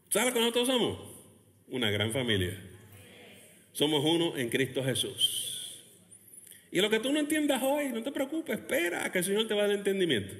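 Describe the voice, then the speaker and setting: Animated male pastor, high-pitched and expressive, preaching in a big echoing hall. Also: moderate pace, long pauses. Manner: animated preaching with laughs and acted quotes of churchgoers. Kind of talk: lively sermon. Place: church hall, Texas. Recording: long hall reverb.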